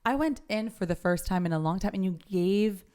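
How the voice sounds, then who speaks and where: A young woman hosting a casual chat podcast, bubbly and expressive, laughing easily as she talks. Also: medium pitch, fairly quick, clean tone. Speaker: podcast host.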